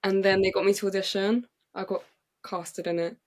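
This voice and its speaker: A young English woman, a playwright and actress, telling her story in an excited, chatty way with many fillers and restarts. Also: medium pitch, moderate pace. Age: young adult. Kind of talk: casual interview storytelling. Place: podcast recording.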